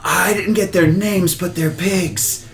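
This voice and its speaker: A man's voice: sits high, steady tone, slow. A man playing a sheepish, flustered twelve-year-old little brother in a tabletop game, awkward and pleading. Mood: flustered, apologetic. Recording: roomy echo with background noise.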